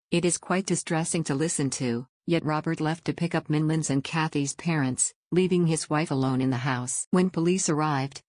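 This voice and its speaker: A woman narrating a true crime story from a script, measured and slowing down on dates. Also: low voice, a little mellow, no echo, studio quality.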